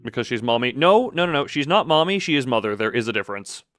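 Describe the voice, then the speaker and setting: A man's voice: mid-range voice, very animated, quick pace, fairly bright tone, dry recording, clean studio sound. Male game streamer over music. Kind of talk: live playthrough chat and dramatic reading of story text.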